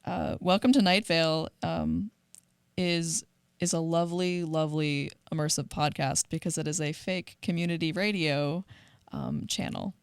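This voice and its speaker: A woman co-hosting a casual discussion, speaking reflectively in measured phrases with pauses. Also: low voice, fairly even intonation, clean tone, no room sound. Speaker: podcast co-host. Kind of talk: casual discussion of audio dramas.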